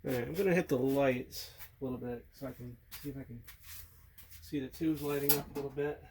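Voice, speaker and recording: A man with a medium-pitched voice hosts a casual repair demonstration. He talks in a low-key, unscripted way, thinking aloud as he works at an indoor workbench, picked up by a camera microphone. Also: fairly even intonation, fairly quick, noisy background. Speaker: hobbyist host showing a radio repair. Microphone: handheld or tripod camera mic.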